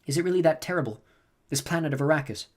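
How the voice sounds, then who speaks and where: A man's voice: on the high side, fast, a little mellow, voice pushed up, lightly acted. A man voicing a bitter, troubled noblewoman. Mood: strained. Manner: bitter, strained, restrained. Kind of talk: audiobook character reading.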